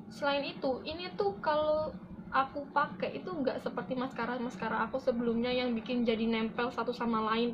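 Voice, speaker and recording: A young adult woman giving a casual, chatty product review to camera as a beauty vlogger. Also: on the high side. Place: small room indoors. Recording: dull lo-fi audio with loud background noise.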